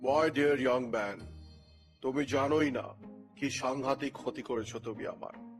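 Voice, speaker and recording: An actor playing an eccentric old gentleman naturalist in an audio story, speaking in a measured, lamenting, gently pleading way. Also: medium pitch, faint music behind, natural voice. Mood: reproachful, dejected. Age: older. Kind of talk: dramatized audio story dialogue.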